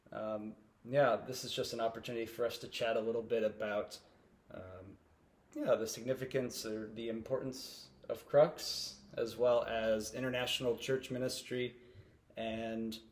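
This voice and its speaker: Laid-back pastor hosting an interview. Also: male voice, medium pitch, a little slow. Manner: relaxed, filler-heavy, uh and um. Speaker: host, pastor.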